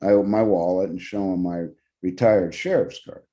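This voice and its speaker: Actor guy reading a short story out loud, real deep mellow voice, measured and dramatic first person narration. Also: steady tone.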